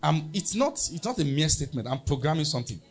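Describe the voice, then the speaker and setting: A man's voice: fairly high pitch, big pitch swings, fast talker, soft background music. A man with a Nigerian accent preaching a sermon as pastor, emphatic and leading the congregation in call-and-response.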